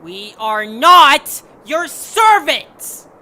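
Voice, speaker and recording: Woman as a feisty, sharp high-voiced adventurer. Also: big pitch swings, extremely slow, full character voice. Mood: indignant. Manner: sarcastic, combative. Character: feisty, blunt, defiant young adventurer. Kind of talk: cartoonish audio drama acting. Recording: faint background noise.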